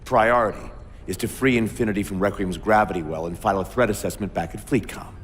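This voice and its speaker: An actor voicing a gruff, authoritative ship captain giving orders in a video game. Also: male voice, lowish voice, fast, in a large hall, slight background noise, close to an everyday voice. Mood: commanding.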